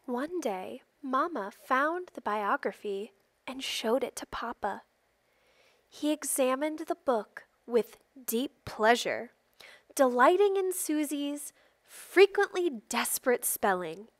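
A woman reading a children's picture book aloud expressively, with deliberate phrasing and emphatic stresses. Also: sits high, expressive, dry recording. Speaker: storybook reader.

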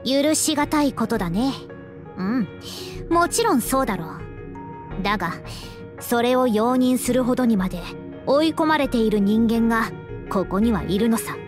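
A woman playing a worldly, frank young female soldier in an anime game, explaining things in a measured, breezy way. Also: on the high side, expressive, lightly acted. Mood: grave. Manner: measured explaining, breezy masculine-style phrasing. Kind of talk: Japanese anime game voice acting. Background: game music.

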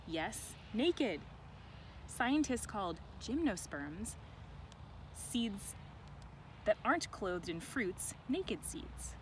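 Woman reading aloud outdoors, noisy background. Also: medium pitch. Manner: expressive, deliberate reading for children. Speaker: educator reading a picture book aloud to children. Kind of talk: read-aloud with chatty asides. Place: outdoors in a sunny farm garden. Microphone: camera held by another person nearby. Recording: outdoor ambient noise.

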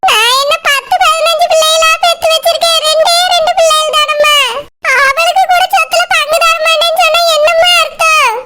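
A woman's voice: extremely high pitch, fairly even intonation, normal speed, sharp tone. A woman playing an aggrieved, pleading old mother-in-law in a family drama skit, with a southern Tamil Nadu accent and a wailing delivery. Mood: tearful pleading. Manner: wailing, imploring. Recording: very noisy recording with clicks.

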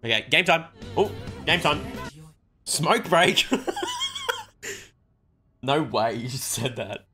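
A young adult man chatting excitedly on a gaming livestream, tossing out short repeated catchphrases. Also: mid-range voice, moderate pace, music in the background. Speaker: game streamer.

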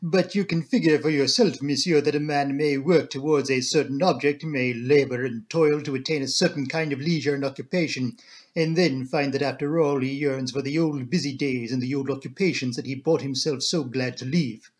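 A man voicing a fussy, solemn little foreign gentleman with a French accent and florid, formal speech. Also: medium pitch, fairly even intonation, normal speed, natural voice. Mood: earnest.

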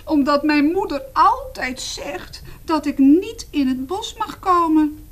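A woman voicing a small lost boy in a read-aloud picture book, higher and urgent. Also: expressive, takes it slowly. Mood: anxious.

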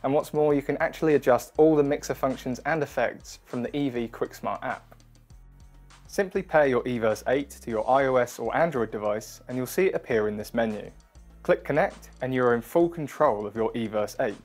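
A man's voice: medium pitch, normal speed, a little mellow. Male product presenter over background music. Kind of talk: scripted product review narration. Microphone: wireless lavalier or close studio mic.

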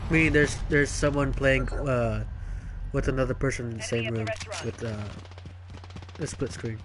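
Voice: mid-range voice, fairly even intonation, normal speed, background noise. A co-player on a game stream, chatting casually and exclaiming with swearing in mock outrage. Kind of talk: casual chat while gaming. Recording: loud game gunfire behind voice.

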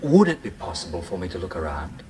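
Wistful man with lively intonation. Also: low voice, fast, slight background noise. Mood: courteous, hopeful. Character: courteous, wistful man revisiting his childhood home. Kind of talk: radio drama acting.

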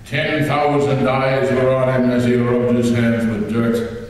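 A man's voice: measured pace, recorded from a distance. Older man reciting a poem in an echoey hall. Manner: reads from the page with swelling emphasis and lively shifts of pitch. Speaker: teacher reading a poem aloud. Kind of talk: dramatic poetry recitation. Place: large hall, on a stage. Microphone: lectern microphone heard from a distant camera.

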